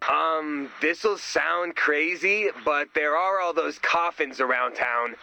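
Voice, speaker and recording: A man voicing an excitable, earnest and nervous sidekick in a video game, with a goofy manner. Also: fairly high pitch, takes it slowly, creaky, dry recording, soft background music, exaggerated voice. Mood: eager, chatty.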